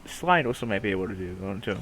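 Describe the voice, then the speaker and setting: A man's voice: on the low side, lively intonation, fast. Nasally guy rambling to his viewers, goofy, voice jumps around a lot, some noise behind him. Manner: playful, goofy, swearing. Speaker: streamer talking to viewers. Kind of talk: casual rambling chat to camera.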